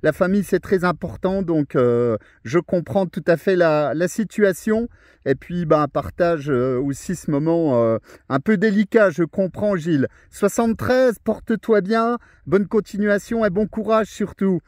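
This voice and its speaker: A man's voice: sits high, animated, a little slow, a little mellow. A man talks casually as an amateur radio operator. His sound is dry, with very little echo around it. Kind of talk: casual radio contact chat. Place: outdoors on a mountain, over a thousand metres.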